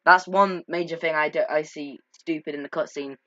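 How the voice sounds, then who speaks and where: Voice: medium pitch, fairly even intonation, normal speed, fairly bright tone, no echo. A casual, unscripted game commentator who talks hesitantly, often correcting and putting themselves down. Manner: hesitant, self-correcting, self-deprecating. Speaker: video creator commentating on game cutscenes. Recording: slightly dull lo-fi home mic.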